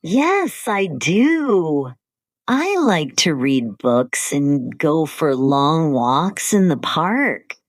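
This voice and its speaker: A woman co-hosting an English-learning podcast, speaking slowly and carefully in simple scripted sentences. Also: deep voice, sing-song, no echo, studio quality. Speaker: podcast co-host.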